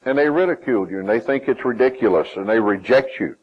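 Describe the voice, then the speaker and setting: A man with a Southern US accent preaching a sermon, emphatic, with his pitch climbing and falling and some phrases drawn out. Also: animated, quick pace, husky, dull audio.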